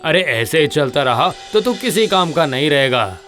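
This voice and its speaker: A man playing a practical doctor friend in an animated horror story, giving familiar, matter-of-fact advice. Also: a little high, moderate pace, soft background music. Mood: concerned advice.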